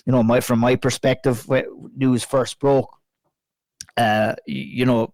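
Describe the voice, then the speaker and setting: An Irish man hosting a casual podcast chat, a bit hoarse and croaky from a flu, chatty and sweary. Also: on the low side, dry recording. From Ireland. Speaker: podcast host.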